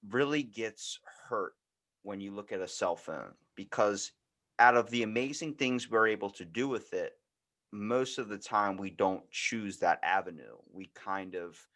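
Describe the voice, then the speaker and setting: A man with an American accent and a fairly low voice hosts a casual conversation. He talks in a loose, rambling storyteller's way, animated and informal. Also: a little slow. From United States. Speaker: podcast host.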